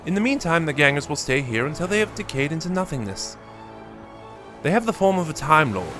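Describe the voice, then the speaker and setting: A man's voice: expressive, fairly quick, music in the background, natural voice. Guy putting on a young grieving twin, earnest, voice pushed way up, storybook reading. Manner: earnest, pitched well above the narration.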